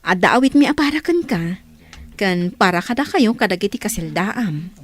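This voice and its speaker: A woman's voice: mid-range voice, expressive, moderate pace, lightly acted. A woman playing a caring, devout middle-aged aunt in an Ilocano radio drama. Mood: caring, pleased.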